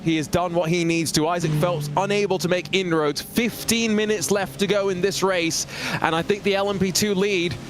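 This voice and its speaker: A man's voice: high-pitched, fairly even intonation, normal speed, faint music behind. A man from Singapore giving live commentary on a sim race, fluent and polished, mixing play-by-play with stories.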